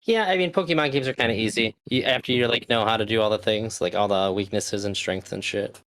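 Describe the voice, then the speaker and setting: A man's voice: on the low side, quick pace, fairly bright tone, a little hiss or hum. A man in his thirties chatting casually, loose and talkative, swearing now and then. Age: 30s. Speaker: podcast host. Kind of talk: casual chat.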